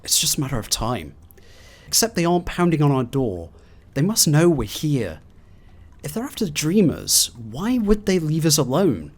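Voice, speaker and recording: A man doing video game commentary and reading in-game documents aloud in a theatrical, expressive way. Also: a little high, moderate pace. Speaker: gaming video host.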